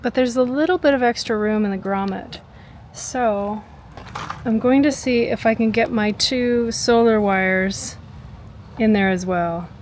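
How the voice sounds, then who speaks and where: A woman's voice: fairly high pitch, a little slow, a little mellow, a little hiss or hum. A woman in her forties casually narrating a do-it-yourself vehicle project, chatty and laughing often, recorded in and around an SUV. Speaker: vlogger. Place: inside an SUV and a carport.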